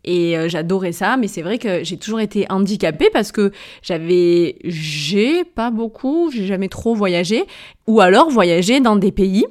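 A woman's voice: mid-range voice, expressive, measured pace, a little mellow. A woman with a southern French accent hosting a podcast, telling a personal story in a casual, rambling way with lots of hesitations and self-corrections. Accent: southern French, Avignon. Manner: chatty and rambling, full of hesitations, self-corrections and brief laughs; addresses the listener familiarly. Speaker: podcast host, entrepreneur.

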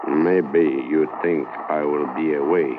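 An actor playing a bitter, defiant prisoner who speaks in clipped broken English, in a 1950s radio drama. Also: male voice, a bass voice, fairly even intonation, measured pace. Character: defiant proud horse thief prisoner, bitter. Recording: tinny old radio transcription, hiss.

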